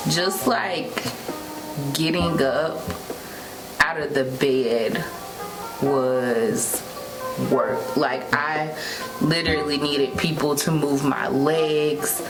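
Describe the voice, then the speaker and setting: A young woman speaks in a lowish voice at a very unhurried pace. She tells her story casually, vlog style, acting out quotes along the way. Loud music plays behind her. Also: low-quality recording. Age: young adult. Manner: animated retelling with acted-out quotes. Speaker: vlogger, new mother.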